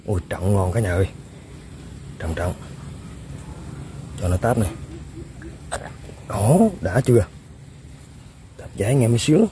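A man, excitedly commenting to viewers while fishing on a riverbank. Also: lowish voice, measured pace, pauses often, a little hiss or hum. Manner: excitable, exclaims often, chuckles. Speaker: fishing vlogger. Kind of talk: casual outdoor commentary to viewers. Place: outdoors by a riverbank.

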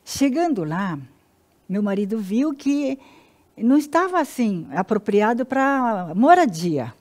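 A woman in her sixties from Paraná with a Brazilian Portuguese accent, telling her life story as an interview guest in a halting, earnest way. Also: on the high side, big pitch swings, a little mellow. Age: 60s. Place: indoor TV-style studio.

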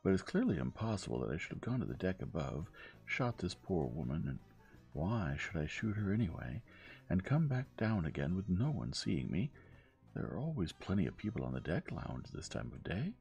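A man voicing an evasive, affable middle-aged gentleman suspect in an audiobook, with a blustering, folksy manner. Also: low voice, big pitch swings, moderate pace, creaky, muffled recording, faint music behind, exaggerated voice. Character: smooth, evasive middle-aged gentleman protesting his innocence.

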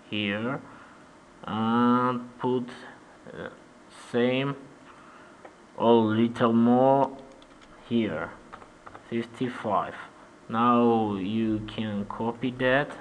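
A man from Armenia with an Armenian accent, guiding a software tutorial in halting, simple English with many "uh-huh" fillers. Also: mid-range voice, a little hiss or hum. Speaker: 3D animation tutor. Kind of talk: screen-recorded software tutorial.

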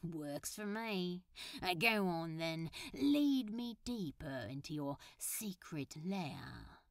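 A woman's voice: lowish voice, lively intonation, slow, no echo, studio quality, pitched below the performer's own voice. A woman reading a storybook role as a flirtatious, cocky male superhero with a casual drawl. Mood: playful. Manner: drawling, casual, hesitant asides. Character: flirtatious, cocky male superhero, teasing his villain lover.

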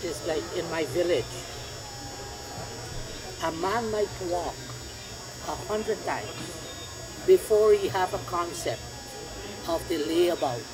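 A man's voice: sits high, slow pace, long pauses, a crowd in the background. An older man at an indoor market, speaking earnestly and preachily about spiritual truth. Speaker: market visitor. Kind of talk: casual debate. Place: large indoor flea market hall. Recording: busy crowded hall behind.

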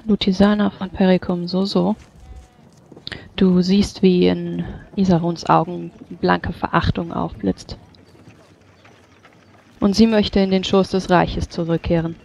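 Game master with a really creaky voice, formal and measured, playing a stern official in a tabletop rpg. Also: medium pitch, flat delivery, darkish tone, highs cut off, slight background noise. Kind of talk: tabletop role-play narration and in-character dialogue.

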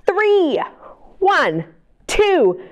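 A woman's voice: very high voice, huge pitch swings, very slow, muffled recording, slight background noise. A woman librarian leading a children's storytime, reading picture books aloud and talking encouragingly to the kids at home through her camera. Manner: bright, encouraging, talks to kids. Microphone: camera mic.

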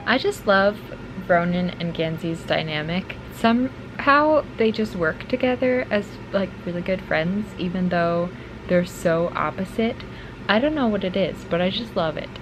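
A woman's voice: lowish voice, expressive, measured pace. Young American woman, chatty, noisy room. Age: young adult. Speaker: book vlogger. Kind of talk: casual vlog chat. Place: bedroom at home, indoors. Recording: clear ambient room noise.

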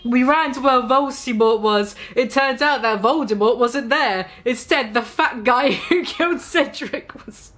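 A woman's voice: a little high, a little slow, hardly any pauses, fairly bright tone. A woman in her twenties giving a theatrical, mocking dramatic reading of fan fiction in a small room.

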